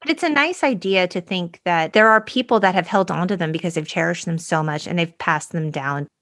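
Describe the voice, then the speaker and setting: A woman's voice: lowish voice, a little fast, a bit bright, dry recording, studio quality. A woman co-hosting a podcast, talking conversationally through her notes with a wry, playful manner. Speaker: podcast co-host. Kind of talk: conversational podcast talk with read-out notes and quotes.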